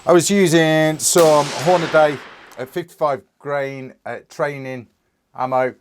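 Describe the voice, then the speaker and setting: Croaky British man reviewing a rifle. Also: on the high side, steady tone, a little slow, background noise. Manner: rambling, with many fillers and restarts. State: croaky, coming down with a cold. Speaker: firearms reviewer. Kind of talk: casual product review.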